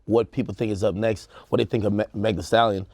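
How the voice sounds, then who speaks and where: A man's voice: on the low side, flat delivery, fast talker, a little mellow, no room sound, clean studio sound. A man co-hosting a music news show, talking in a casual, slangy way as he thinks aloud. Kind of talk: casual panel discussion, slangy commentary.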